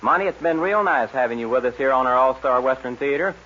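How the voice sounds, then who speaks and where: A man's voice: medium pitch, expressive, quick pace, pushed and bright. A man hosting a scripted 1940s radio guest chat in a cordial, folksy manner. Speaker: radio show host. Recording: muffled, noisy 1940s radio recording.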